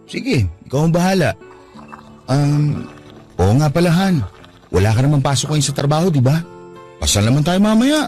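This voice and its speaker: A man's voice: fairly high pitch, big pitch swings, normal speed, long pauses, a little mellow, soft background music. A man playing a young boyfriend in a radio drama, earnest and pleading with his partner. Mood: gentle.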